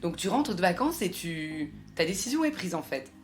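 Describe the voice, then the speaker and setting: A woman's voice: lowish voice, sing-song, normal speed, faint music behind. A French-speaking woman hosting a podcast, reading a scripted intro and then chatting eagerly with her guest. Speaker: podcast host.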